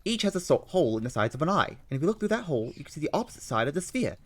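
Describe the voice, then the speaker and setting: A man voicing an excitable Victorian gentleman detective with an attempted London accent. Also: on the high side, racing, dry recording, pitched above the performer's own voice. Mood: keen. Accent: attempted London English.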